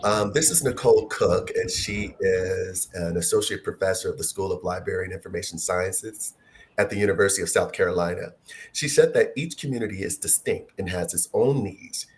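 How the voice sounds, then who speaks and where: A man moderating an online panel discussion, speaking in a measured way with frequent ums. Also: deep voice.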